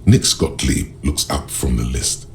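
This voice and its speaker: A man voicing a brooding, poetic narrator of a noir game world, with slow, gravelly, literary delivery. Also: a bass voice, expressive, a little mellow, slight background noise. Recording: music under the voice. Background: music.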